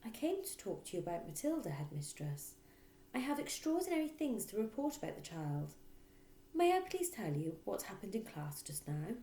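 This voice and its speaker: A woman voicing a gentle, nervous but determined teacher in a storybook, polite and pleading. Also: medium pitch, very animated, moderate pace. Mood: pleading, firm. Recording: roomy echo.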